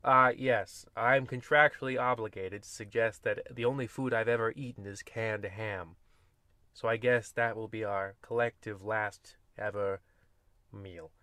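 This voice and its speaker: A man's voice: lowish voice, steady tone, a little slow, pushed and bright, no echo. Droll, macabre horror radio host played by a man. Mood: reluctant. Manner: droll, mock-ominous, rambling. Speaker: narrator. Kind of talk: old-time radio anthology narration.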